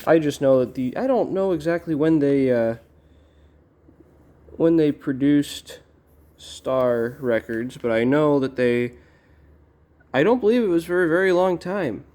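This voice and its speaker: A man giving casual, halting unboxing commentary as a collector, musing to himself in a low-key way. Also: dark tone. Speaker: record collector presenting an unboxing.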